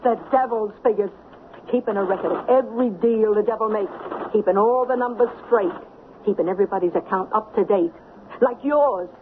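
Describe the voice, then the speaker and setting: A thin, phone-like old radio broadcast carries an actress as a sharp-witted, blunt American woman. She is streetwise and bossy, throwing out slangy, emphatic commands over faint noise in a mid-pitched voice. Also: fairly quick, lightly acted. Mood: ominous. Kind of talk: American old-time radio drama acting. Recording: tinny, noisy 1970s radio broadcast.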